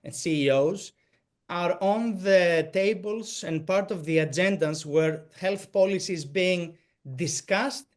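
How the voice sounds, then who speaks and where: Greek-accented male presenter, slightly high pitch, halting delivery with frequent restarts. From Greek American. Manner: halting, many restarts and fillers. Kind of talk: answering questions in a talk.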